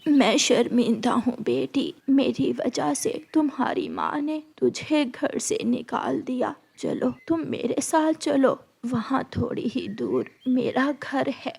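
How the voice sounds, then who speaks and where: Woman playing a gentle old mother bird, high-voiced. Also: sing-song, takes it slowly, creaky, few pauses, slightly rough, full character voice. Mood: tender concern.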